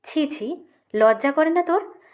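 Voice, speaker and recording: Woman voicing an anguished, high-pitched scolding mother. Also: lively intonation, measured pace. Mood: angry reproach. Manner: exclaiming, tearful, reproachful. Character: anguished middle-aged Bengali mother, scolding and pleading.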